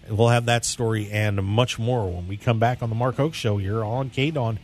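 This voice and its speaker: A man's voice: fairly low pitch, moderate pace, faint music behind. A man hosting a radio show in a casual sports-talk style. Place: radio studio.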